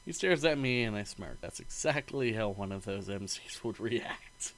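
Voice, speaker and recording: A man reading a visual novel aloud in an expressive, playful narrating style. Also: fairly low pitch, moderate pace.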